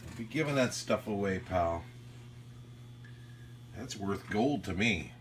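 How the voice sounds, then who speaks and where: A man with a low voice chats casually as an amateur radio operator. He speaks very slowly, in a halting, reflective way, with faint humming noise behind him. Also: expressive. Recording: faint hum and background noise.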